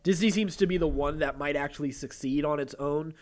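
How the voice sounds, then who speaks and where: A man's voice: a little high, a little fast. A man hosting a podcast, moving between reading news and casually explaining his take in a talkative way. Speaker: podcast host.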